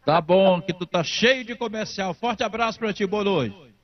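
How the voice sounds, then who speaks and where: A man hosting a sports call-in radio show, energetic and teasing, repeating his phrases. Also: high-pitched, moderate pace. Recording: muffled lo-fi radio sound. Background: faint music.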